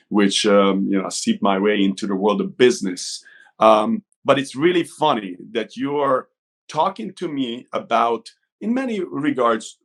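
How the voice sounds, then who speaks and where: Middle-aged man with a Hungarian accent. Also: mid-range voice. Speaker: coach, interview guest. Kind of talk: conversational interview talk.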